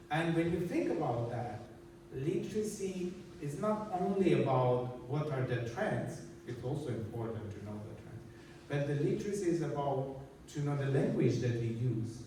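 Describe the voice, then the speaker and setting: A man's voice: mid-range voice, mellow, slight background noise. A man from Armenia, giving an earnest, explanatory conference talk with slides and many restarts. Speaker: conference speaker. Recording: echoey hall, distant mic.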